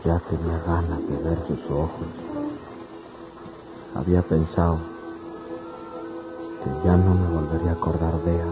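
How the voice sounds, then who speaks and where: A man's voice: deep voice, little pitch movement, moderate pace, pauses often, loud music behind the voice, natural voice. An actor playing a despondent, lovesick young farm man in an old radio drama. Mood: longing. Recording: dull old radio recording.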